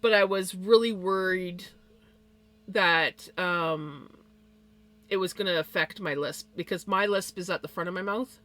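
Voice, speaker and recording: Streamer, woman, bright tone, slight lisp, rambly casual chat full of ums and quick laughs. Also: on the low side. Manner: rambling, with many fillers and small laughs. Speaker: live streamer.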